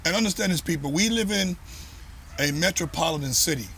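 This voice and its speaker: A man with a somewhat high voice hosts in a casual, conversational way. He prompts others and speaks straight to listeners. Faint ambient noise sits behind him. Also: lively intonation, fairly quick, a bit bright. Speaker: host. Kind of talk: casual chat.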